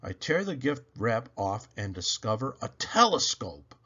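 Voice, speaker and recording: Male read-aloud narrator, dramatic, highly varied pitch, slightly muffled lo-fi sound. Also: very animated, a little slow, sharp tone, slightly rough. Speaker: children's storybook reader.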